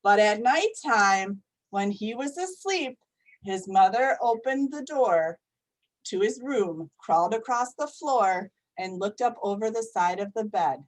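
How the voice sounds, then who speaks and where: A woman reading a children's story aloud in a gentle, repetitive storybook cadence. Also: mid-range voice, expressive, measured pace, poor audio.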